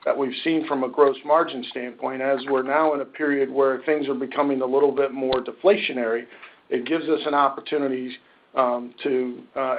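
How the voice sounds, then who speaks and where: A man speaking as a company finance executive, answering questions on a conference call in measured corporate phrasing. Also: mid-range voice. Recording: muffled conference-call audio.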